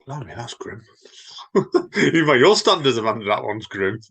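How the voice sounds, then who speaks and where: Man, husky and animated, podcast reader with a wry streak. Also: mid-range voice, normal speed. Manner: lively, chatty, wry teasing. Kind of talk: reading poems and casual chat.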